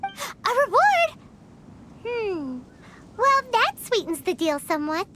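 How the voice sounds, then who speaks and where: A woman voicing a tiny, excitable, cheeky female flying companion with a squeaky childlike voice and dramatic manner in a video game. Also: slow pace, a little hiss or hum, full character voice. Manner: refers to self in third person, dramatic. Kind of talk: English video game voice acting.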